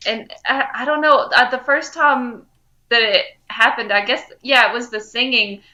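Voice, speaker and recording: A woman co-hosting a fan podcast. Her voice sits a little high and sounds bright and forward, and she talks in a casual, chatty style. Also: steady tone, moderate pace, highs cut off. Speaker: podcast co-host.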